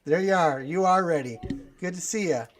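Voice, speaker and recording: A man's voice: on the high side, moderate pace, faint music behind. Hesitant man hosting a podcast. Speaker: podcast host. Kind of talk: casual hosting talk.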